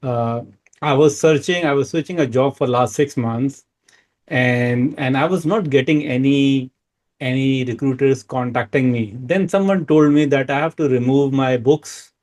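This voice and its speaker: A man's voice: mid-range voice, unhurried, a little mellow. A man hosting an interview, asking questions in an upbeat, encouraging way. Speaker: host.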